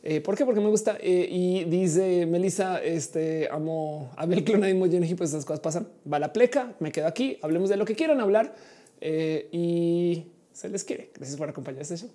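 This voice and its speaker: Warm, low-voiced woman in her forties. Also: normal speed. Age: 40s. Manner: chatty, playful, many fillers. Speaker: livestream host reading chat. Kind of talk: casual live chat.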